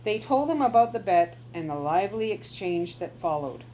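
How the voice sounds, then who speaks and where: A woman's voice: on the low side, sing-song, normal speed, dark tone, a little hiss or hum, low-quality recording. A woman storyteller reading a children's adventure chapter book aloud to her listeners.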